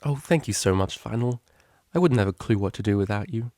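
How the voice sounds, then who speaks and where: A man's voice: on the low side, animated, a little fast, a little mellow, no room sound, clean studio sound. A man voicing a prim, naive young female cellist pony, studious and earnest, with precise, bookish speech. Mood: curious, fond.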